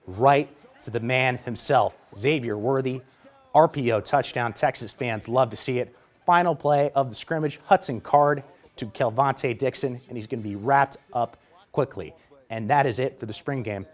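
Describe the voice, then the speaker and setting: An American man working as a sports reporter, reading scripted highlight narration with energetic play-by-play delivery.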